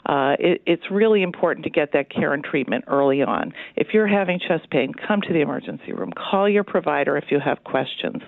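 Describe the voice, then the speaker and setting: A woman speaking as a hospital executive in a radio interview, explaining things in a measured, reassuring way over the phone. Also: low-pitched, animated, gravelly, dry recording. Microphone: telephone. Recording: tinny, narrow phone line.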